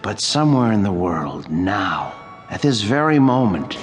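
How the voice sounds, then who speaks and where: A man's voice: lowish voice, very animated, unhurried, a crowd in the background. An actor playing a brooding, devoted wax museum curator in a radio drama, theatrical and intense, dwelling on his words. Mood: ominous, impassioned.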